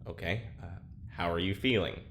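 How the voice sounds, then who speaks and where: Hesitant man, rambling, wry, bright edgy tone, audio drama, faint noise. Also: sits low, moderate pace. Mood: hesitant, curious. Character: nervous, rambling man questioning an AI. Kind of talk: naturalistic audio drama acting.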